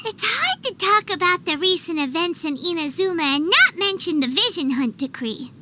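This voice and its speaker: Casting: small childlike fairy sidekick in an animated game, extremely high, squeaky, very lively, easily offended. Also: female voice, moderate pace, slight background noise, exaggerated voice. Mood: chatty, explaining. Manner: refers to self in third person, exclamatory. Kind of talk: animated video game voice acting.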